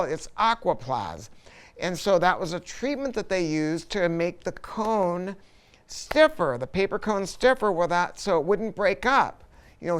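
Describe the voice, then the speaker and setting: A man's voice: high-pitched, smooth. A man talking casually and enthusiastically as he presents a product review, informal and full of opinions.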